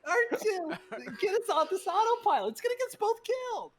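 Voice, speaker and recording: A woman playing an excitable, naive and cocky young boy pilot in a playful amateur comedic script reading. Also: very high-pitched, brisk. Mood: excited alarm.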